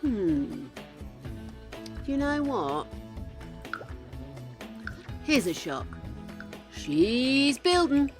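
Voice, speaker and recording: A woman's voice: on the high side, big pitch swings, drawn-out words, long pauses. An older woman streaming a game, chatting casually and musing aloud to her viewers as she builds. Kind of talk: casual gameplay chat. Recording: game music behind the voice.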